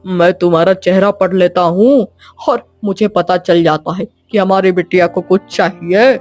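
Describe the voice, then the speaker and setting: A man's voice: high-pitched, fairly even intonation, normal speed, darkish tone, soft background music, lightly acted. Man playing a doting old grandfather. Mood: fond, amused. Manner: affectionate, chuckling, reassuring. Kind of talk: animated moral story dialogue.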